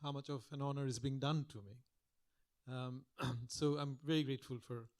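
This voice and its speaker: Soft-spoken older man lecturing. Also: mid-range voice, fairly even intonation, moderate pace. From Calcutta, India. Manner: soft-spoken, reflective, with frequent restarts. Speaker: guest lecturer. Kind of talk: public lecture. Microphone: podium microphone.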